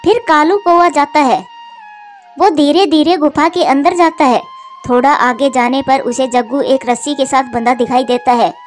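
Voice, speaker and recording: A woman's voice: sits high, normal speed, soft background music. A woman narrating a scripted cartoon story for children.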